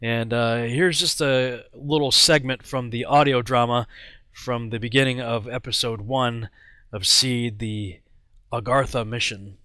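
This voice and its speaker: A man's voice: medium pitch, fairly even intonation, measured pace, sharp tone, clear, resonant tone, no echo. A man with an American accent giving informal commentary as host, sometimes reading aloud.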